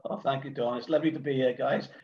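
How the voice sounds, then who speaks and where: A middle-aged man with a Welsh accent talks casually, wandering through his thoughts with frequent ums and false starts. His voice sits at an ordinary pitch. The sound is dull and lo-fi, like a remote online call. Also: steady tone, quick pace, gravelly. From Wales. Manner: rambling, hesitant, many fillers and restarts. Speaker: guest, event organiser. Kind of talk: casual podcast conversation.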